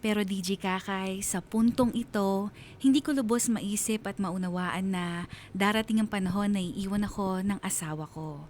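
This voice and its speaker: A man's voice: high-pitched, moderate pace, a little hiss or hum, lightly acted. A man playing a sorrowful, abandoned husband narrating his story in a radio drama, with a Filipino accent. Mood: sorrowful, reflective.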